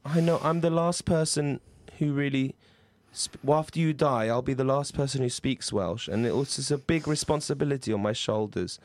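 A man's voice: mid-range voice, normal speed, hardly any pauses, a little mellow, lightly acted. Man playing an earnest, hesitant sheltered boy. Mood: hesitant, pleading. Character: earnest, sheltered nine-year-old boy pleading with his overprotective father. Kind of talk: improvised comedy role-play.

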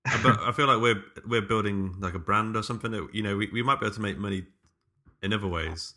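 A man's voice: lowish voice, fast, pushed and bright. A man co-hosting a podcast in casual chat, hesitant and rambling as he puts his thoughts together. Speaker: podcast co-host.

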